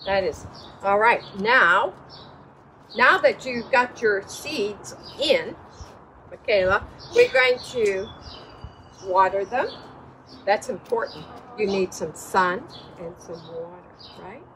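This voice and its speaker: A woman's voice: mid-range voice, big pitch swings, slow pace, fairly bright tone, rough recording. A woman in her sixties showing young children how to garden, encouraging them with praise and simple instructions. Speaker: children's storytime host. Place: outdoors at a table in the wind. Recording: breezy outdoor noise, birds.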